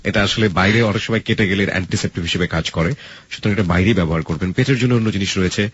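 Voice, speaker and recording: A man's voice: deep voice, quick pace, fairly bright tone, highs cut off, slight background noise. A man hosting a conversational radio interview, often interjecting and slipping in English phrases. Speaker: radio show host.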